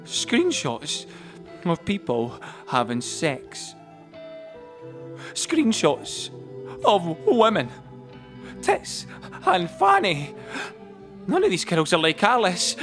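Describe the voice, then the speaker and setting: A young Scottish man narrating an audio drama over a musical score. He takes his time, yet his pitch moves around a lot as he confides in the listener in everyday, colloquial speech. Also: huge pitch swings, extremely slow, pauses often, bright, forceful tone, faint music behind, full character voice. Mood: incredulous, animated. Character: young man confiding a story of troubled boyhood. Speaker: actor-narrator. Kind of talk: dramatic monologue storytelling in an audio play.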